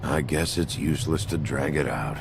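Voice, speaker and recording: An actor playing a gruff, weary, hot-tempered sheriff in a video game, terse and dry, with a gravelly voice. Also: male voice, very deep voice, normal speed, background noise. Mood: grim, resigned. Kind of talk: video game cutscene acting.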